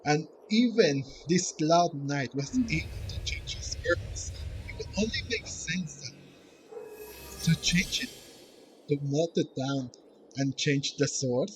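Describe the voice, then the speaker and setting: A man's voice: fairly high pitch, animated, slow pace, background noise. A man hosting a gaming video, giving casual, hesitant play-along commentary and often repeating himself.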